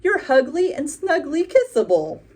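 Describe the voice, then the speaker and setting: A woman's voice: high-pitched, very animated, measured pace, a little mellow, pitched above the performer's own voice. A woman voicing a cheeky old male crow in a storybook with a squawky voice. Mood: sweet.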